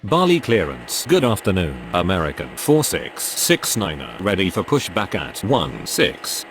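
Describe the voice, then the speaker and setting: A man plays an air traffic radio operator in a simulation game. The voice is very low with strongly swinging intonation, and the phrasing is clipped and formulaic. Faint vehicle noise sits behind it. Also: huge pitch swings, unhurried, fairly bright tone, exaggerated voice. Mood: businesslike. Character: procedural male pilot and air traffic controller. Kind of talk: scripted aviation radio calls.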